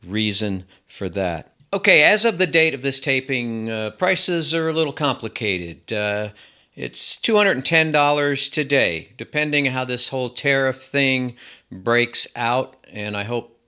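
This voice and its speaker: Bright-voiced man casually reviewing gear. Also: mid-range voice, animated, unhurried, clear, resonant tone. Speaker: host of an audio gear review channel. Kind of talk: casual product review to camera.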